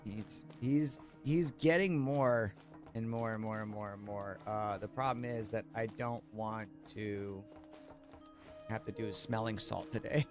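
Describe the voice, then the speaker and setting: A man's voice: on the low side, fairly even intonation, measured pace, soft background music. A man livestreaming a game, chatting casually with viewers in a rambling, playful way with plenty of swearing. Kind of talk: casual live commentary with chat.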